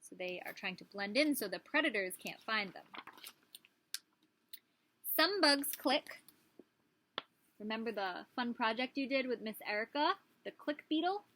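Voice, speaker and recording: A woman's voice: a little high, lively intonation, a little slow, long pauses, pushed and bright, slight background noise, poor audio. A woman, a preschool teacher, reading a picture book aloud to young children and prompting them with questions.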